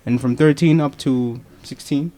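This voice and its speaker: A man's voice: mid-range voice, steady tone, measured pace, mellow. A man hosting a podcast interview, often repeating words as he asks questions. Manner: stammering, repeats words while asking. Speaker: podcast host.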